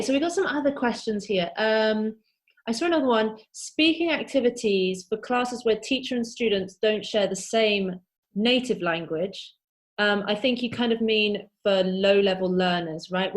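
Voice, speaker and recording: A woman moderating a webinar Q&A over a video call, with a loose, friendly way of talking and plenty of ums. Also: medium pitch. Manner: friendly, loosely paced with fillers. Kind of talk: moderating an online Q&A.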